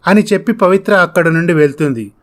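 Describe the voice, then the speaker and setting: Casting: narrator for scripted moral tales, mid-range pitch, telling the story between dialogue. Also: quick pace.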